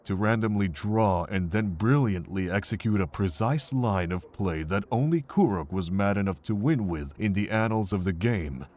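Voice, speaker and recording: A man voicing a weary, kindly older monk with a measured, earnest way of telling things, in a fan visual novel. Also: lowish voice, animated, a little mellow, natural voice. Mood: grave, earnest.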